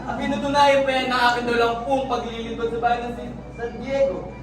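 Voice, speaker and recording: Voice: medium pitch, little pitch movement, brisk, close to an everyday voice. A performer in a school stage play playing a haughty, irritable male friar who scorns the natives in a blustering way. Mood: scornful, irritated. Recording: echoey, distant stage recording with music behind.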